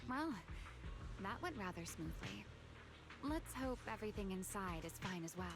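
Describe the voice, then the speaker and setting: An actress playing a poised, wistful young woman adventurer in a video game, speaking in measured, elegant, formal phrases. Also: mid-range voice, sing-song. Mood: composed. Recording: game music over the voice.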